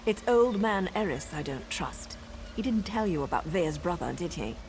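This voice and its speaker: An actress playing a sardonic, self-assured assassin in a video game, with a teasing, wry way of talking. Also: female voice, on the low side, sing-song, moderate pace, a little mellow, slight background noise. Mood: firm, serious. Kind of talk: video game character acting.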